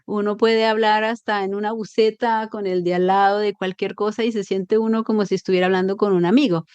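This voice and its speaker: Colombian lady psychologist chatting in an interview, lively, explains stuff with examples, dry close sound. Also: medium pitch, brisk, smooth, no room sound, dull audio. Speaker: psychologist guest. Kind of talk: conversational interview.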